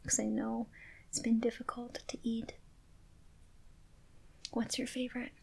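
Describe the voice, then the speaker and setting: A woman speaking in a soft, gentle whisper, reassuring a listener in a comforting ASMR roleplay. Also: on the high side, flat delivery, measured pace, gravelly, husky.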